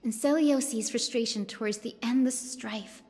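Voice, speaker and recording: Brief: a soft-spoken, earnest young sky maiden; a fairly high voice, lingering reverb, faint music beneath. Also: female voice, moderate pace, breathy edge. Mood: solemn. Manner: soft, earnest storyteller, rising to solemn invocation. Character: gentle earnest young woman of sky-dwelling lineage. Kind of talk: game cutscene voice acting.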